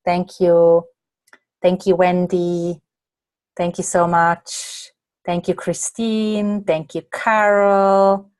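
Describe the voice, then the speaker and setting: A slightly hoarse woman from Australia, a coach answering viewer questions in a chatty way during a live online masterclass late at night. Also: lowish voice, little pitch movement, very slow, a little mellow, no echo. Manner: chatty, reads out viewer comments and answers them. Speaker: coach and presenter.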